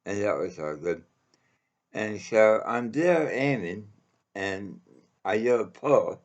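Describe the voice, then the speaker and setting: A man with a very low voice recounts a personal story casually. His delivery is unhurried and halting, rambling along with frequent pauses. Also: lively intonation, lo-fi sound.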